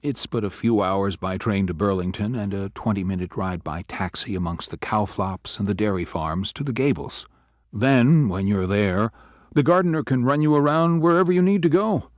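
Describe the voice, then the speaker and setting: A man's voice: fairly low pitch, normal speed, no echo, studio quality, natural voice. A man playing a jovial, teasing older law boss. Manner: avuncular, wry and lively. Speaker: law firm senior partner. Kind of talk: audio drama acting.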